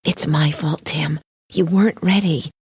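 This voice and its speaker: Low-voiced, sensible cartoon lawyer. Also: female voice, fairly even intonation, unhurried, a little mellow, husky, no echo, exaggerated voice. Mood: worried. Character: sensible, anxious female lawyer mentoring a boy. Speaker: lawyer character. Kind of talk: animated courtroom cartoon voice acting.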